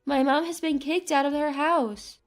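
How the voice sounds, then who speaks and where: A woman's voice: sits high, steady tone, moderate pace, close to an everyday voice. A woman voicing an earnest, caring grown daughter in a narrated family drama. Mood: grateful, pleading.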